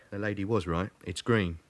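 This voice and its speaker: An actor playing a businesslike British police detective in a radio drama, reporting in terse, factual phrases. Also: male voice, low voice, steady tone, measured pace. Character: brisk, businesslike London male police detective.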